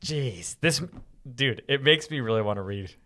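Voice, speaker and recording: A man's voice: medium pitch, expressive, normal speed, sharp tone. A young man co-hosting a podcast, chatting about Bible stories in an informal, slangy, enthusiastic way. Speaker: podcast co-host.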